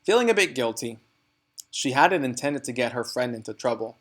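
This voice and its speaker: A man reading a children's storybook aloud as narrator, in an expressive, deliberate and dramatic way. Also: mid-range voice.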